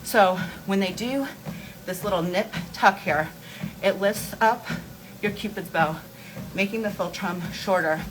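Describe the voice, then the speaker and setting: A woman's voice: medium pitch, measured pace. Candid woman chatting over noisy live audio. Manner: candid, informal, emphatic with repeated words. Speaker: host of a beauty and wellness live stream. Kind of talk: casual live chat to followers.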